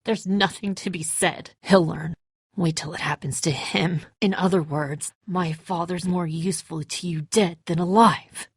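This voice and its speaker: Bitter angry woman from a video game, raw and distraught, slow heavy delivery, really dry close sound. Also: on the low side, fairly even intonation, husky, no echo. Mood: bitter grief. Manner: raw, emotional, confrontational, sweary. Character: hot-tempered, distraught, bitter grown daughter in a crisis. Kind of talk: dramatic video game acting.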